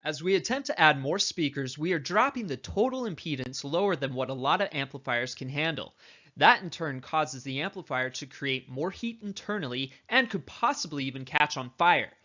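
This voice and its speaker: A man with a somewhat higher-than-average voice delivers scripted instructional narration. He explains step by step, like a course instructor. The recording is a bit dull, lacking some top end. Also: animated, moderate pace, sharp tone.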